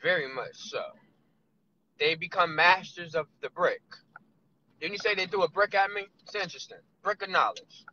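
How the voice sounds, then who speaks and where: A man in his thirties vlogging from inside a car, musing loosely with drawn-out asides. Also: high voice, pushed and bright, a little hiss or hum. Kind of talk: casual rambling monologue. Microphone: phone camera on dashboard. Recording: lo-fi phone audio.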